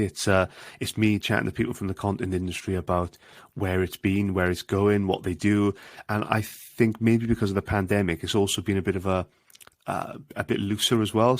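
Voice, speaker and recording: A man's voice: low-pitched, no room sound. A man with a Swansea Welsh accent chatting as a podcast guest, with lots of restarts and quoted voices. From Wales. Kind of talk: casual interview chat.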